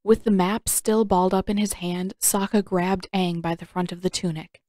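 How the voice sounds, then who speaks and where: Woman reading an audiobook story, lowish voice, very dry recording. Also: fairly even intonation, moderate pace, darkish tone, dry recording. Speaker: narrator. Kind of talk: scripted audiobook narration.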